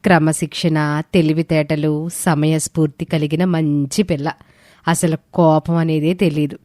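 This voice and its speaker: Woman playing hostel warden, approving, measured, lowish voice, dry, story acting. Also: level tone, warm tone, no echo. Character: approving hostel warden praising a model girl. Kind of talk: dramatised moral story acting.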